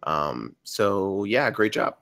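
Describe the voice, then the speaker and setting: A man giving casual feedback in a rambling, halting way. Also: on the low side, sing-song, vocal fry, fairly bright tone. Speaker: table read narrator giving feedback.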